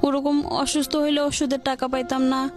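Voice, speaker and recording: High-pitched Bangladeshi woman, halting speech. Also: level tone. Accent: Bangladeshi, colloquial. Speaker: guest telling her life story. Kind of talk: personal confession in interview. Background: music.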